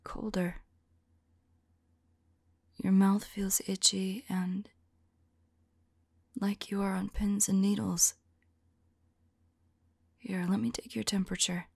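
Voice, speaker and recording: Woman acting a breathy, whispering vampire lover. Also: medium pitch, flat delivery, dry recording, heavily acted. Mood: curious, puzzled. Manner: halting, soft, musing to a loved one. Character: tender, anxious female vampire, 150 years undead, devoted lover. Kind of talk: ASMR roleplay audio drama, intimate whispering.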